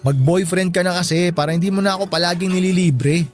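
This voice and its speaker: An actor playing a teasing, devoted young best friend in a radio drama, with a playful, bantering delivery. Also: male voice, high voice, steady tone, quick pace, faint music behind, close to an everyday voice. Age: young adult. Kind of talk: Tagalog radio drama acting.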